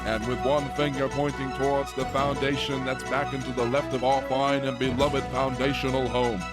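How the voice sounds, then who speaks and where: An actor playing an earnest broadcast announcer delivering mock news and play-by-play in a satirical audio play. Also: male voice, medium pitch, close to an everyday voice. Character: earnest male news anchor turned sports play-by-play announcer.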